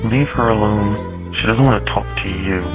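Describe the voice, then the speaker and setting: A man playing a mocking, protective male spirit with a slow, taunting delivery in an amateur fantasy audio drama. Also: on the low side, natural voice. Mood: taunting, menacing. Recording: loud music bed under voice.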